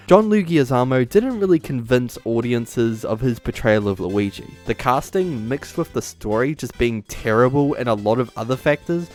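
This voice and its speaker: A man's voice: medium pitch, darkish tone. A man presenting an opinionated video essay in a casual way, partly scripted, as the host. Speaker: YouTube host.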